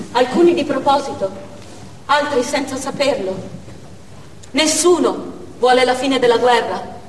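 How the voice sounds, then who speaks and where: A woman's voice: high-pitched, a little hiss or hum. An actress playing a desperate, defiant female Chechen militant in a declamatory theatrical monologue. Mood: grim, resigned. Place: theatre stage, picked up from afar. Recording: distant echoey theatre hall with music.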